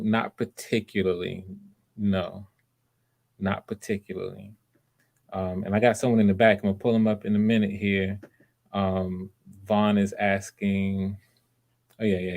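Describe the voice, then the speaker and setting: A man chatting casually and encouragingly as he hosts online auditions. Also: fairly low pitch. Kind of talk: casual livestream chat.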